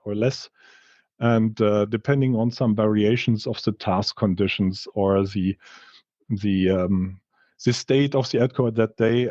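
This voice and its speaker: Male researcher, non-native English, mellow relaxed voice, halting technical explanation with slides, slightly dull recording. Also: lowish voice, dry recording. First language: not English. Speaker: researcher presenting study results. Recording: slightly dull, dry lo-fi audio.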